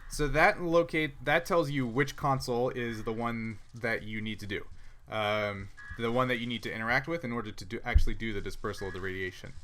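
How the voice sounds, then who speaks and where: A man acting as game master for a tabletop role-playing game, explaining rules and situations in a halting way. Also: mid-range voice, steady tone, fairly bright tone.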